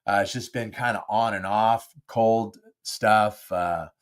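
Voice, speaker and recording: A stuffed-up, nasal man talks into his own podcast mic, rambling through the week's news in a loose, chatty way. His voice sits fairly low. He keeps circling back with fillers and restarts as he warms up to the show. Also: steady tone, slow pace. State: congested with a cold, nasal. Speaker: podcast host. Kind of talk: casual solo monologue. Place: home studio.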